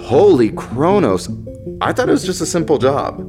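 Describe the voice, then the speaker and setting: An actor playing an impulsive working man of space, probing and excitable, in an audio drama. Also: fairly high pitch, moderate pace, over music. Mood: excited.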